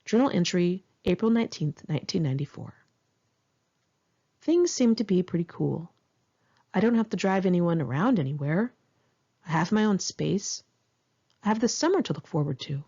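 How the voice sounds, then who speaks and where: A woman's voice: on the low side, lively intonation, moderate pace, creaky, pauses often, warm tone, husky, highs cut off. A woman narrating her own memoir as an audiobook, in a candid, conversational and wry way. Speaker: author reading own memoir.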